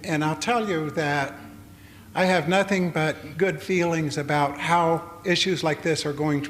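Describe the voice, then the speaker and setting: An older man giving a public lecture, talking in a halting way with many fillers and restarts. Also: on the high side, a bit bright. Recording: long hall reverb, faint noise.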